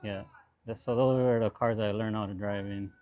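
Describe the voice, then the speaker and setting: A man in his forties from Hawaii, speaking casually as an interview guest in a halting way, often repeating short phrases. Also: on the low side, steady tone, dark tone, no room sound. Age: 40s. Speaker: interview guest, lowrider builder.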